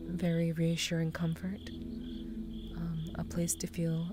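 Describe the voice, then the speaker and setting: A young woman speaks in a soft, soothing ASMR half-whisper, her voice a touch scratchy from recent crying. Her tone sits low and stays level, with little rise or fall. Music plays clearly underneath her. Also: completely flat delivery, unhurried. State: slightly scratchy voice after crying. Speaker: VTuber streamer. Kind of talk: ASMR stream chat.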